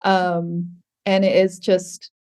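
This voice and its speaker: A woman's voice: fairly low pitch, completely flat delivery, slow pace, a little mellow. A woman musician guesting on a podcast, chatting casually with plenty of "like" and easy laughs. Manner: chatty, lots of fillers like "like", laughs.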